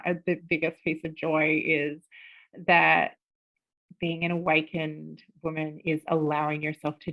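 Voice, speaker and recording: Animated, laughing woman in her forties. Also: on the low side, vocal fry, muffled recording. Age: 40s. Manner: animated, laughing, swears casually. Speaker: podcast guest. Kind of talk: casual interview chat.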